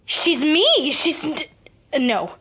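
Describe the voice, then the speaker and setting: A woman's voice: high voice, huge pitch swings, extremely slow, a bit bright, heavily acted. A woman in an audio drama playing a distressed, blunt young actress, acting naturalistically. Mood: shaken, upset. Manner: agitated, blunt. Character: distressed, angry, foul-mouthed young actress.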